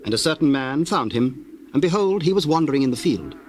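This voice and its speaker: A man narrating scripture from a script in a solemn, measured, formal way. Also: a little high, animated, a little hiss or hum.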